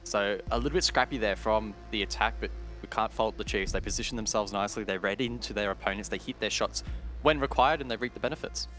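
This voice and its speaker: A man commentating on a competitive shooter match, explaining tactics in a measured way. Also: on the low side, fairly bright tone. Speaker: esports commentator. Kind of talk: live analysis and commentary of a competitive shooter game. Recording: music and gunfire behind the voice.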